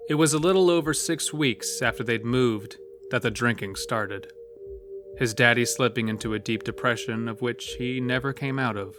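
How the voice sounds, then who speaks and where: A man's voice: medium pitch, moderate pace. A man narrating a scripted horror story as the storyteller of an audio drama. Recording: music bed under the voice. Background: loud music.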